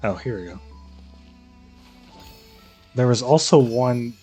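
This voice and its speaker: A man's voice: medium pitch, expressive, slow pace, warm tone, slightly rough, soft background music. A man chatting casually as a game streamer, informal and half thinking aloud. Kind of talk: casual live-stream chat.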